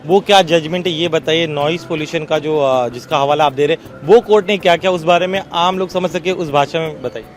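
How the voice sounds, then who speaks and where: A man's voice: a little high, brisk, few pauses, faint music behind. A male news reporter interviewing outdoors, pressing his guest to explain things in plain language.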